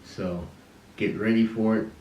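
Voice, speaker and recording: Slow, deliberate man teaching in a roomy space. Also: on the low side, fairly even intonation, muffled recording. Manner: deliberate, explanatory, with short halting phrases. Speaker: host and teacher of a Bible and Hebrew series. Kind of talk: religious lesson on the Hebrew alphabet. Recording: roomy, distant mic with faint noise.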